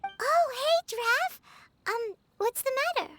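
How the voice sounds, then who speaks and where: A woman voicing a tiny childlike fairy companion in an animated video game style, chatty, excitable and cutesy. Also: extremely high pitch, takes it slowly, faint music behind, full character voice. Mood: curious. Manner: refers to self in third person, cutesy.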